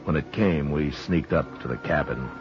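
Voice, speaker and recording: A commanding frontier marshal in a radio western, with an extremely deep voice. He is terse, dry and steady, captured on a muffled old recording. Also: moderate pace, over music. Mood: measured, grim. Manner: terse, authoritative. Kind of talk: 1950s radio western acting and narration.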